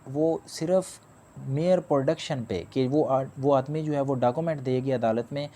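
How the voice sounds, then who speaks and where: A man lectures on law in a dark, mellow voice. He talks at a middling pitch and builds each point with patient, repetitive explanation. English legal terms run through his speech. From Pakistan.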